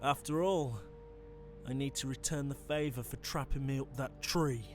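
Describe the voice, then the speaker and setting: A man's voice: mid-range voice, normal speed, faint music behind, close to an everyday voice. A man playing a taunting, impatient monster hunter with a casual, sardonic menace, in a dramatic ASMR audio roleplay. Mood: smug, taunting.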